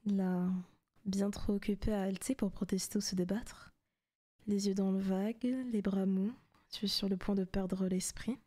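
Woman acting a soft, whispery, possessive demoness. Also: mid-range voice, steady tone, moderate pace, close to an everyday voice. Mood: teasing, hungry. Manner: soft, teasing, frequently dropping into whispers. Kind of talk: ASMR roleplay, intimate scripted monologue.

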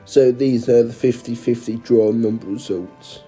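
A man's voice: mid-range voice, a little slow, mellow, husky, soft background music, low-quality recording. A man narrating his match vlog in a plain, matter-of-fact voice-over, reading out events and results. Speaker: vlogger.

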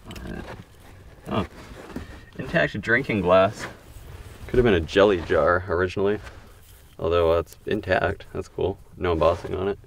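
Low gravelly guy digging outside, mic a bit far, faint noise. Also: moderate pace, long pauses. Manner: calm, matter-of-fact, reading out finds. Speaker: bottle digger. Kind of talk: narrating a dig on camera. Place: outdoors at an excavation pit.

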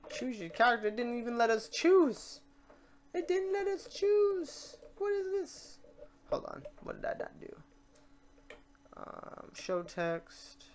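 An informal tutorial host talking through software steps casually, muttering asides and self-corrections while working. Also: mid-range voice, sing-song, slow pace. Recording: noisy room, keyboard typing and clicks. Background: keyboard typing, mouse clicks, background noise.

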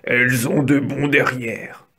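A storyteller voicing a greedy, menacing male ogre wolf who draws out his threats slowly. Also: medium pitch, steady tone, sharp tone, breathy edge, full character voice. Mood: hungry, greedy.

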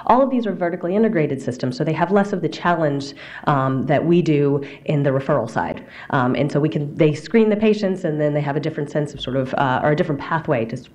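Woman doctor, low voice, quick conference lecture at a lectern mic, fillers and self-corrections. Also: darkish tone. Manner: fast, explanatory, with frequent fillers and restarts. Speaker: physician presenter. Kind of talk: conference slide presentation. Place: conference room.

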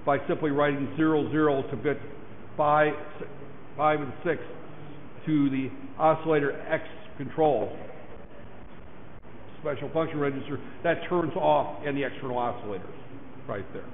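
Hoarse male lecturer in an echoey hall. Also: on the high side, moderate pace, pauses often. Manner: rambling, repeats "right there" and "that there". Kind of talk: recorded classroom lecture over slides. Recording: echoey lecture hall, tinny lo-fi mic with faint noise.

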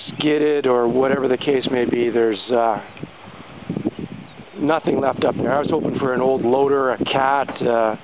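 A man's voice: mid-range voice, vocal fry. A man giving casual, rambling first-person vlog narration outdoors, hesitant and understated in manner. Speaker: amateur explorer filming a vlog. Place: outdoors on an exposed seaside catwalk. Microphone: handheld camera microphone. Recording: tinny handheld camera mic, heavy wind noise. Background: loud wind.

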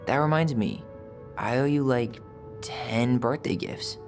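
A man's voice: mid-range voice, slow pace, faint music behind. Youthful, easygoing American young man. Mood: light, earnest. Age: young adult. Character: easygoing, sensitive young man, a reminiscing twin brother. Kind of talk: naturalistic video game dialogue.

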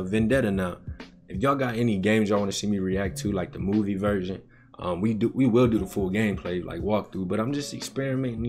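Low-voiced young man, slangy streamer. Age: young adult. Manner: slangy, conversational, addressing chat. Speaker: gaming video host reacting to cutscenes. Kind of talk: casual reaction commentary to viewers. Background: music.

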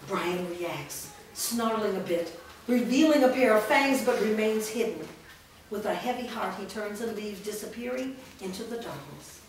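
A woman reads screenplay directions aloud at a seated script reading, keeping a steady, descriptive pace. Her voice sits at a middle pitch. It is picked up from a distance in a roomy studio, with faint background noise. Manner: measured, descriptive. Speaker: stage-direction reader. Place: large indoor rehearsal studio. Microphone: far camera mic. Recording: echoey studio from far off.

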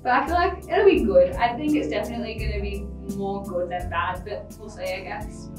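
A woman's voice: medium pitch, brisk, roomy sound, far from the mic, music in the background. A teenage girl in a casual interview, chatty, giggly and a little unsure. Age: teens.

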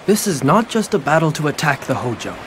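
An actor playing a brash, cheerful warlord rallying troops in an English game dub, folksy and theatrical. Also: male voice, a little high, sing-song, a little fast, background noise. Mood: rousing. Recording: music behind the voice.

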